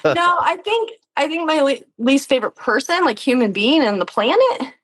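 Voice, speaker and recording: A woman's voice: sits high, big pitch swings, normal speed. A woman speaking as an interview guest in casual, reflective chat, often laughing as she talks. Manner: giggly, laughs often while talking. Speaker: guest, player and actor on a tabletop game show.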